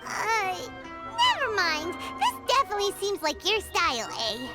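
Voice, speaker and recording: A bubbly, excitable little fairy companion chatters in a squeaky, sing-song voice. Her pitch sits extremely high and leaps wildly from word to word. A loud game soundtrack plays underneath. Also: moderate pace, full character voice. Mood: teasing. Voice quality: squeaky, sing-song, third-person self-reference. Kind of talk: video game voice acting. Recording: game audio with loud music bed.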